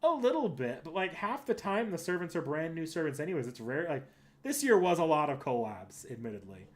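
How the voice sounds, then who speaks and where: A man's voice: on the high side, very animated, fairly quick. Rambling male livestreamer thinking aloud. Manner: rambling, thinking aloud with frequent self-corrections. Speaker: streamer and host. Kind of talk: casual livestream chat, answering viewers.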